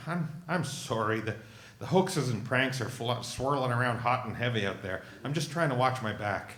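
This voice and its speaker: An actor playing a nervous, suspicious man feigning casualness, flustered and stammering, in an animated comedy read. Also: mid-range voice, moderate pace, reverberant. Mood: sheepish. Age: middle-aged. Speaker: voice actor at a live table read. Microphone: stand microphone.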